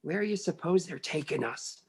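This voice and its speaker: A woman's voice: deep voice, animated, moderate pace, slightly rough, heavily acted. A woman playing a folksy, superstitious male sailor in a radio play, wary and plain-talking. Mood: uneasy. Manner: folksy, gosh-and-lordy country talk. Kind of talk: radio play acting, 1940s style.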